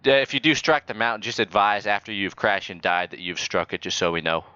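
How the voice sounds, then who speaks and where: Low voiced guy, deadpan fake air traffic controller, dry slightly dull mic. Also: fairly quick, clear, resonant tone, no room sound. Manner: deadpan, mock-official. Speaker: flight simulator player acting as air traffic controller and airshow announcer. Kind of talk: improvised radio talk and mock commentary.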